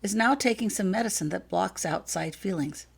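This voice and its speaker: A woman voicing a calm, earnest man in an audiobook story, measured and gently apologetic as he explains. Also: mid-range voice, vocal fry, breathy edge. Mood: earnest explaining.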